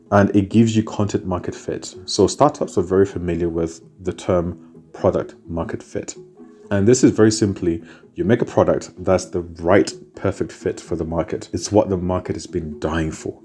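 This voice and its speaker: A man's voice: low-pitched, mellow. A man born in Zimbabwe and raised in the United Kingdom, explaining content strategy in a talk, stacking repeated phrases for emphasis. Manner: repetitive, building lists for emphasis. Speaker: content marketing speaker.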